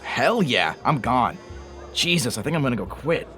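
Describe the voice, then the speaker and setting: An actor playing a nervous, polite bartender in an audio drama, hesitant and apologetic. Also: male voice, mid-range voice, very animated, moderate pace, pushed and bright, faint music behind. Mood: stunned, excited.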